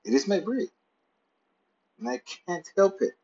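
A man's voice: high voice, expressive, a little slow, creaky, warm tone, lo-fi sound. A man narrating a playful, rambling story in the first person from his pet's point of view. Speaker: pet owner narrating.

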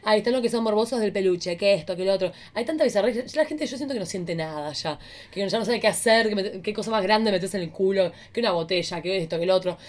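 A woman with an Argentine accent hosting a casual, bawdy comedy podcast in a theatrical, playful way with drawn-out emphasis. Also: medium pitch. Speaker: podcast host. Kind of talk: casual, bawdy comic chat.

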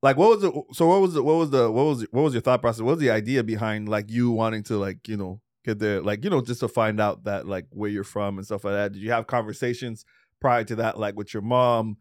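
A man's voice: fairly low pitch, brisk, no echo, clean studio sound. A man in his forties from Trinidad, hosting a loose casual chat, rambling with frequent restarts. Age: 40s. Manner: loose, rambling, swears and laughs often. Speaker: podcast host.

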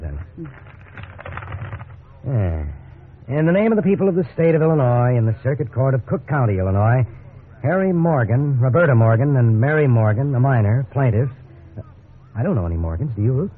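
A man's voice: medium pitch, very animated, a little fast, long pauses, natural voice. An actor playing a breezy, incredulous American salesman husband in a 1950s radio drama, wry and conversational. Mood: joking incredulity. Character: cheerful, incredulous suburban husband, an encyclopedia salesman. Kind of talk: 1950s radio drama acting with wry first-person narration. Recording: tinny, hissy 1950s radio recording.

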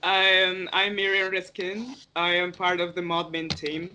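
A woman's voice: lowish voice, completely flat delivery, unhurried, bright, forceful tone, slight background noise, poor audio. A woman from Paraguay, a group moderator, joking in casual podcast chat. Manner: joking, self-mocking.

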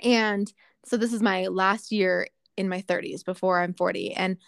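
A woman's voice: mid-range voice, steady tone, moderate pace, vocal fry, no echo, studio quality. A woman in her late thirties chatting openly and with self-deprecating humor, with lots of fillers. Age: 30s. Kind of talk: casual chat.

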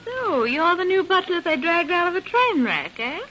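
An actress playing a gossipy, sassy maid with brisk, slangy, confiding delivery in a radio drama. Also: female voice, extremely high pitch, very animated, pushed and bright, soft background music, full character voice. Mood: teasing. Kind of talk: 1930s radio drama acting. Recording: hissy, muffled old radio recording.

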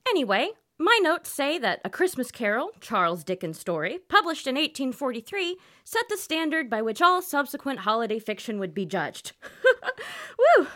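Woman playing a perky, high-pitched radio announcer. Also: huge pitch swings, normal speed, bright, forceful tone, full character voice. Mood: chatty. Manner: chirpy, folksy, guileless. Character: perky, naive female substitute radio announcer.

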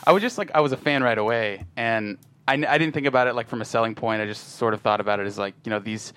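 A man being interviewed as a screenwriter, talking casually and haltingly with a modest, informal manner. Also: medium pitch, a bit bright, clean tone, no room sound. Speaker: guest screenwriter.

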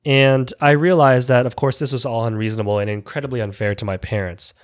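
A man's voice: mid-range voice, steady tone, fast. A man, an educator giving an earnest, reflective personal speech with small restarts. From Canada. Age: 30s.

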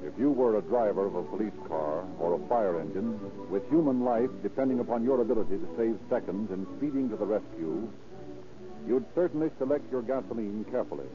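A man's voice: on the low side, lively intonation, fairly quick. A man working as announcer and narrator in formal, emphatic 1930s radio style, reading scripted copy. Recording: muffled old radio recording with music.

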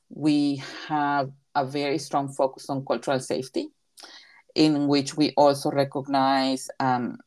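A woman with a Mexican accent and a strikingly low, deep voice. She grew up speaking Spanish, and she answers interview questions as a therapy professional. She is emphatic and circles back to repeat her key points. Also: a little mellow. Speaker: recreation therapy leader.

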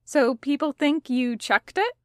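Female reader as innocent young boy, mild and earnest, fairly high voice, slow storybook delivery. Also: animated, darkish tone, no echo, clean studio sound. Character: gentle, earnest, innocent schoolboy.

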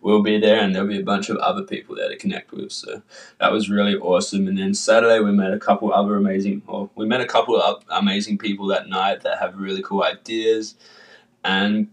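A man co-hosting a casual podcast chat, a bit rambling and relaxed, with a cold. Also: lowish voice, fairly quick, recorded from a distance, poor audio. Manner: relaxed, rambling, guided breathing intro. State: has a cold, coughing. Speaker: podcast co-host.

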